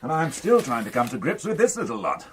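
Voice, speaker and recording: A man's voice: medium pitch, big pitch swings, quick pace, fairly bright tone, slight background noise. A man acting in a radio drama as a dry, grumbling police inspector, wry and easily indignant. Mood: dry, weary. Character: dry, grumbling male police inspector, mildly old-fashioned. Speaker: actor.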